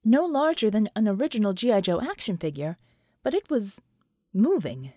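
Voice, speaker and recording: A woman's voice: medium pitch, very animated, normal speed, mellow, dry recording, clean studio sound. A woman reading scripted fiction as an audiobook narrator, in a dramatic storytelling style.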